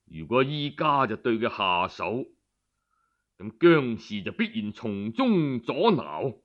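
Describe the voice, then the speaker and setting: A man's voice: medium pitch, a bit bright, dull audio, voice pushed down. A man voicing a scheming, haughty feudal lord of ancient China in Cantonese, with cold scorn and sinister calculation. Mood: cold, scornful.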